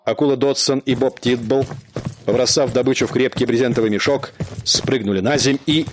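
A man narrating a radio play in a measured, dramatic storytelling manner. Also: medium pitch, fairly even intonation, noisy background.

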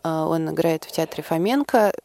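A woman in a conversational studio interview, a producer pausing often to pick her words. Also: deep voice, lively intonation, gravelly, dark tone, no room sound. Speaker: theater producer, interview guest. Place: radio studio.

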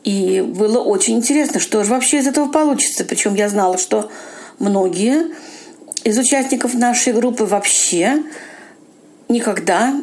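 A woman's voice: fairly high pitch, normal speed, darkish tone. A woman, an amateur audio-play actress, speaking reflectively and informally about her own experience. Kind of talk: reflective spoken account for a radio feature.

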